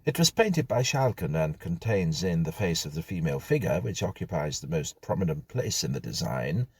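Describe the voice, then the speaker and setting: A man reading a gothic story as an audiobook narrator, in a gravelly, husky voice with a measured, dramatic, formal literary delivery. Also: low-pitched, very animated, dry recording.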